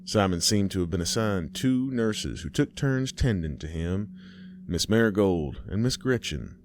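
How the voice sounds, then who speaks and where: A man hosting and narrating a horror story, folksy and unhurried, who talks straight to the listener. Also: low-pitched, expressive, darkish tone, a little hiss or hum.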